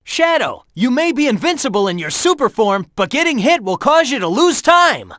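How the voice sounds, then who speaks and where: Brash game hedgehog hero, teasing and coaching his rival, animated delivery, dry close booth sound. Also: extremely high pitch, moderate pace, no room sound, exaggerated voice. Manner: cocky, teasing banter; urgent warnings and coaching. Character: cocky young male hedgehog hero, fast and teasing. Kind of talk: video game voice acting.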